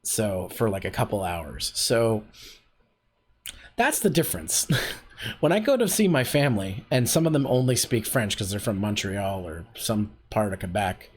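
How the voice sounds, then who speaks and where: Chatty self-deprecating man, lively voice. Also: fairly low pitch, normal speed, a little hiss or hum. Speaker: voice acting workshop host. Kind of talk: casual livestream teaching.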